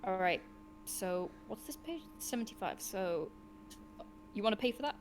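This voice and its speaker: Female storyteller, lively and swooping, for expressive gamebook narration with casual asides; slight noise behind. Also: mid-range voice, normal speed. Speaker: host and narrator of a gamebook session.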